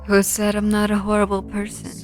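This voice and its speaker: A woman playing a cold, bitter informant in a game roleplay, speaking deliberately and defiantly. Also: mid-range voice, completely flat delivery, music in the background, close to an everyday voice. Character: cold, bitter female informant confessing to police. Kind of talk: online game roleplay voice acting.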